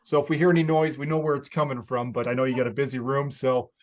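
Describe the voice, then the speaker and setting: A man's voice: mid-range voice, flat delivery, fast. A man with a Canadian accent hosting a podcast interview, chatty and laughing easily. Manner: friendly, chatty, laughs often. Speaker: podcast host. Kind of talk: casual interview chat.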